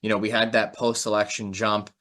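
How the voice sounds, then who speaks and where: A man's voice: lowish voice, level tone, fairly quick, fairly bright tone. A man speaking casually as a podcast host and analyst, agreeable and conversational in manner. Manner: agreeable, frequent short affirmations.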